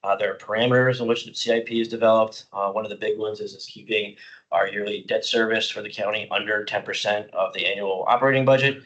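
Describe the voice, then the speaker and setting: A middle-aged man, slightly hoarse, presenting a parks budget plan at a public commission meeting, explaining informally with many "uh" fillers. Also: lowish voice, fairly even intonation, fast, a bit bright, lo-fi sound. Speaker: county parks department staff presenter.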